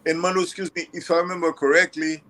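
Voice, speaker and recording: A man's voice: on the high side, level tone, quick pace, sharp tone. Older haitian guy telling stories in conversation, leans on words and repeats them for emphasis. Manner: emphatic, repeats phrases for effect. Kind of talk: conversational storytelling.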